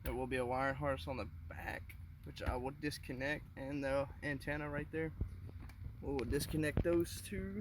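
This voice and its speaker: A man with an average-pitched voice talks through a hands-on task in a casual, unscripted way. He pauses and thinks aloud as he works. The sound is a handheld phone recording inside a car, with some faint noise behind him. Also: steady tone. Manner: informal, halting, thinking aloud while working. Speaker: car DIY demonstrator. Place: inside a car, close quarters.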